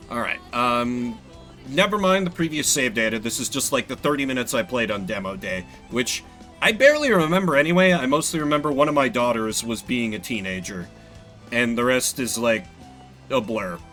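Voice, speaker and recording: Animated, bright-voiced male game streamer. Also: medium pitch. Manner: playful, sweary. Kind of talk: casual game commentary with joking asides.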